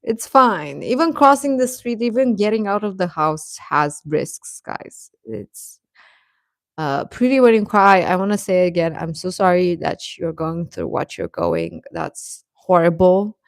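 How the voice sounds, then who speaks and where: A woman hosting a casual livestream, chatting to viewers in a gentle, encouraging, affectionate way. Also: lowish voice, big pitch swings, unhurried, no echo. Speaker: livestream host.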